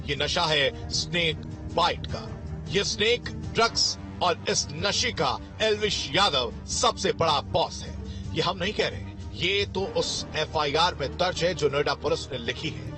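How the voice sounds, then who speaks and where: A man's voice: on the high side, animated, unhurried. A man narrating a scripted news report in a dramatic, emphatic, sensational voice-over style. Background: loud music bed.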